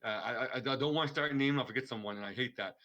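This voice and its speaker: A man's voice: medium pitch, very fast, pushed and bright, highs cut off. A man guesting on a podcast, talking earnestly and rambling in casual chat. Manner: earnest, rambling, restarts a lot. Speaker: streamer guest.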